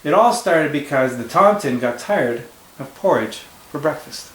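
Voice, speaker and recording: Expressive male teacher reading aloud. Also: fairly high pitch, measured pace, noisy background. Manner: warm, expressive storytelling with asides. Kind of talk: read-aloud storytelling for children. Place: room at home. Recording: echoey room with faint ambience.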